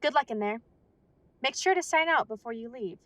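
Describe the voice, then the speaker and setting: A polite, businesslike werewolf receptionist, voiced by a woman in an audio drama, with a bright, high voice. She sounds close and dry, with no room echo. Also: animated, moderate pace. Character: polite female werewolf council receptionist, brisk.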